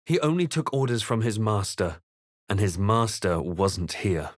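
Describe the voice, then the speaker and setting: A low, solemn adventurer-narrator. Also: male voice, very animated, dry recording, clean studio sound. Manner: measured, literary storytelling. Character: solemn male adventurer recounting his dark journey. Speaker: narrating player character. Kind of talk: scripted first-person fantasy game narration.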